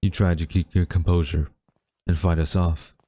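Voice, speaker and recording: A man playing a haughty, wistful government agent in a dramatic audio roleplay, speaking in a smug, deliberate, theatrical way to a captured former friend. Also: sits low, flat delivery, warm tone, dry recording. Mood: menacing, deliberate.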